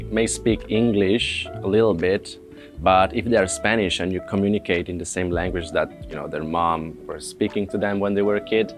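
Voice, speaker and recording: A man in his late twenties, a native Italian speaker, chatting casually to the camera with fillers and restarts, recorded on a lapel mic indoors. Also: fairly low pitch. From Italian household. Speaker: presenter.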